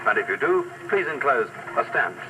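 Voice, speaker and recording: A man's voice: mid-range voice, very animated, normal speed. Punchy 1960s male advert announcer. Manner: punchy, salesmanlike. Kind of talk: 1960s radio commercial, scripted promotional read. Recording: noisy, distant 1960s radio tape.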